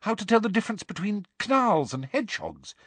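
Casting: male reader as anxious, bossy teen schoolgirl, lifted pitch, animated, insistent storybook heroine. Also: a little slow, no echo, heavily acted. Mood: urgent, earnest. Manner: insistent, pleading, articulate.

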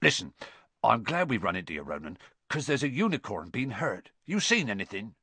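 A man voicing a big, gruff, protective gamekeeper in a storybook, with blunt rustic grammar and a wary manner. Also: on the low side, very animated, brisk, bright, forceful tone, dry recording, exaggerated voice. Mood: relieved, impatient. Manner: dialect grammar, urgent warnings, blunt.

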